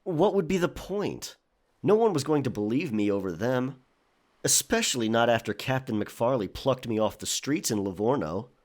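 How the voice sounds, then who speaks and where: A man playing a world-weary young pirate with a disgraced past in an audio drama, speaking in a halting, wry way. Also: medium pitch, animated, a little mellow, natural voice. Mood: resigned.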